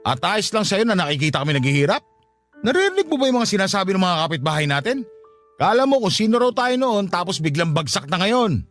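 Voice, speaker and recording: A scornful, irresponsible Filipino husband in a radio drama. He talks quickly in a lively, swinging high voice, brushing off everything with impatience. Faint music sits behind him. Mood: impatient, blaming. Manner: dismissive, sneering, impatient.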